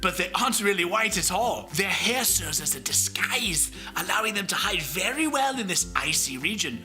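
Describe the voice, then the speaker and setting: Man doing a hearty old sea captain, animated. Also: high-pitched, moderate pace, faint music behind, pitched above the performer's own voice, exaggerated voice. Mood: tender, informative. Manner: hearty, explanatory, tender. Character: proud, kindly old bearded Arctic ship captain. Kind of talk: children's story read-aloud character voice.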